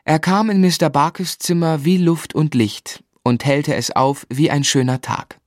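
An actor playing a polite gentleman who narrates his own youth in a composed, literary radio drama style. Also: on the high side, unhurried, no room sound, clean studio sound, close to an everyday voice. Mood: admiring, reflective.

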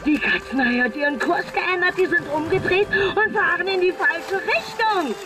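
An actor playing an excited, cheeky race commentator in a children's pirate radio play. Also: very high-pitched, normal speed. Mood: astonished. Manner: exclamatory, dramatic, cheeky. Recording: music behind.

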